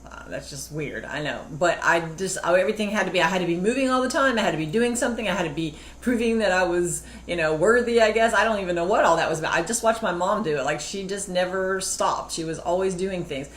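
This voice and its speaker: A woman's voice: on the low side, fast, hardly any pauses. A middle-aged woman co-hosting a casual talk about marriage, telling stories and acting out what people said. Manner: chatty storytelling, acting out quotes. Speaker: co-host. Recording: echoey room with faint ambience.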